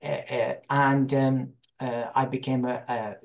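A man's voice: mid-range voice, flat delivery, slow pace, gravelly. A man in his forties from Iraq with an Iraqi accent, talking informally as an interview guest on a video call, often searching for words. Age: 40s. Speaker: guest, religious teacher. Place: small room indoors, on a video call.

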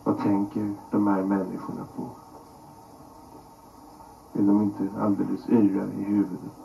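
A man reading a reflective scripted narration in measured, literary phrasing. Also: on the low side, monotone, vocal fry. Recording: dull, noisy voice track.

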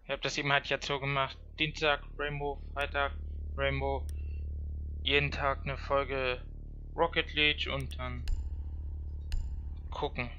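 A man's voice: medium pitch, completely flat delivery, unhurried, pauses often, pushed and bright, highs cut off. A young man chatting casually while hosting a gaming video, slangy, crude and rambling. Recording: game music and gunfire behind voice. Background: game music, gunfire.

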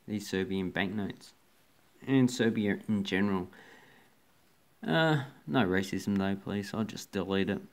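A man's voice: medium pitch, a little mellow. A man casually talking through banknotes from his collection, rambling with fillers and restarts. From Australia. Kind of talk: casual show-and-tell commentary.

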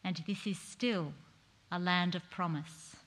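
A middle-aged woman performing a dignified 1940s woman politician's speech to parliament, in measured, declamatory theatrical oratory. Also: on the low side, fairly even intonation, a bit bright. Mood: earnest, stirring. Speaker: performer reading a historic speech on stage. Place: large theatre stage, indoors. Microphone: stage microphone on a stand. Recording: echoey hall with long reverb.

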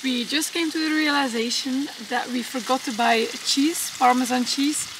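High-voiced cheerful young woman vlogging. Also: steady tone, moderate pace, noisy background. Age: young adult. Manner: cheerful, chatty, addresses viewers directly. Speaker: travel vlogger. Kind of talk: casual travel vlog talk to camera. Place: outdoors by a road and a lake. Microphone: handheld camera at arm's length. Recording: music under the voice.

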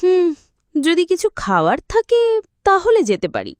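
A woman's voice: very high-pitched, wildly swooping pitch, a little slow, a little mellow, voice pushed up, heavily acted. A woman voicing a lazy, timid, imaginative rabbit in a children's cartoon, with a whiny, playful manner. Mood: skeptical. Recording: roomy echo with faint music.

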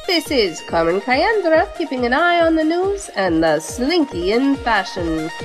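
Actress as a flirty female news anchor, high lively voice, showy, audio drama, loud music behind. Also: measured pace. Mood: breezy, flirty. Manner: perky, showy, coquettish. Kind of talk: mock newscast in audio drama.

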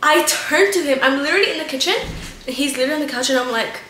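Bright high-voiced young woman venting. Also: rapid-fire, reverberant, a little hiss or hum. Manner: chatty, swears a lot, venting. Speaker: lifestyle vlogger. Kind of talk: casual storytime vlog. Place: bright living room and kitchen at home.

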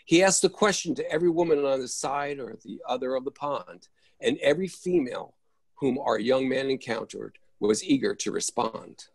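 A man's voice: medium pitch, normal speed, breathy edge, no echo. A man playing a wry storyteller, narrating a comic verse tale theatrically for a readers' theatre performance. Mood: wry, storytelling. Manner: theatrical, list-savoring rhymed delivery. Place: indoors at home on a video call.

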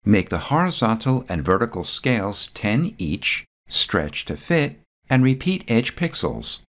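A man reading a scripted step-by-step software tutorial voice-over, calm and methodical. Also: fairly low pitch, measured pace.

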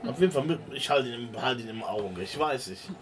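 A man's voice: medium pitch, expressive, brisk, recorded from a distance, crowd noise. Guy playing a tabletop rpg, normal mid voice, just chatting in character. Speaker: tabletop role-playing player. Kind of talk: casual in-character table talk.

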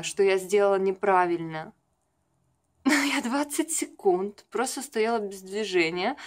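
A young adult woman talks in a casual, confiding vlog style, rambling through everyday stories to a camera at arm's length in a room at home. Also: medium pitch. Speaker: vlogger, makeup artist. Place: small furnished room at home.

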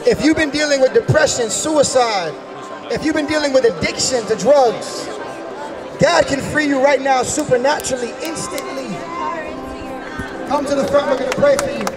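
A man in his thirties preaching and praying forcefully in a revival style, with repeated exhorting calls. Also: extremely high pitch, normal speed, distant mic, music in the background. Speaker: street preacher. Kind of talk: fervent revival preaching and prayer. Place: large busy indoor shopping mall. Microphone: handheld microphone. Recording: handheld mic in loud crowded public hall. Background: loud crowd.

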